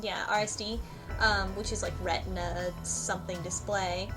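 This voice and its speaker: A woman's voice: medium pitch, steady tone, normal speed, over music, poor audio. A woman co-hosting a podcast, chatting casually about a story game. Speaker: podcast co-host. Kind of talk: casual chat about a visual novel.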